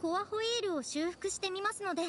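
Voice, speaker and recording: A woman's voice: very high-pitched, quick pace, a bit bright, a little hiss or hum. A woman voicing a polite, chatty, self-deprecating young female guide in an anime game. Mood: modest, grateful. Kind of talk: Japanese anime game voice acting.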